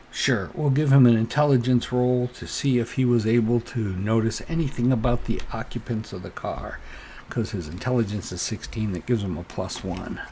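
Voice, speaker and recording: A man narrating a solo tabletop role-playing game, improvising haltingly and thinking aloud as game master. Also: mid-range voice, vocal fry, a little mellow, a little hiss or hum.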